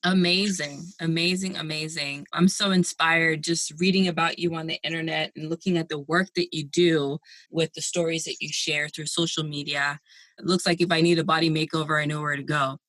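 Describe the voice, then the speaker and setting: A woman's voice: low-pitched, little pitch movement, brisk, fairly bright tone, no room sound. A woman in her forties hosting a podcast, upbeat and encouraging as she introduces and questions her guest. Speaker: podcast host. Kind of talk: podcast interview and scripted intro.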